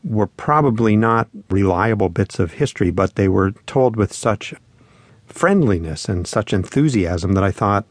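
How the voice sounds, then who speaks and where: A man's voice: on the low side, expressive, unhurried, no room sound. A man talking in a reflective, conversational storytelling way as a musician being interviewed, acting out quotes as he goes. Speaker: musician and spoken-word performer being interviewed.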